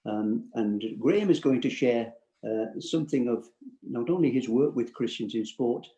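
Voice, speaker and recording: A man's voice: mid-range voice, normal speed, dark tone, dull audio. A man hosting an interview, introducing his guest and asking questions with frequent hesitations. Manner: hesitant, many fillers, friendly. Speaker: host. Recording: echoey room.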